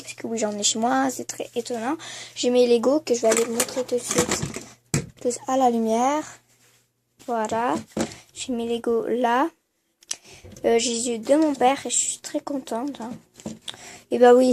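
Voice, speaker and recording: A high, light female voice, a little stuffed up from a cold. She talks casually and hesitantly, piling on fillers as she walks through her room on a handheld camera. A faint hiss sits under the recording. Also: a little mellow. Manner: hesitant, many fillers and trailing voilà. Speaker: vlogger. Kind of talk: casual room-tour vlog. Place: bedroom at home.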